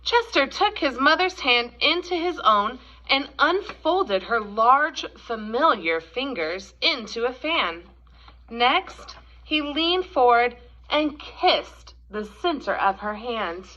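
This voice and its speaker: A woman reading a story aloud to young children in an expressive, teacherly way. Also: high voice, takes it slowly. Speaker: storybook reader. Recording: dull, lo-fi sound.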